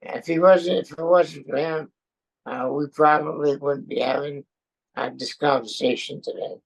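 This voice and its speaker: A man, a veteran speaking hesitantly as an interview guest, with many pauses and fillers. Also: fairly high pitch, steady tone, vocal fry, rough recording. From born in Austria, raised in Brooklyn and France. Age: 70s. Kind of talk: casual chat.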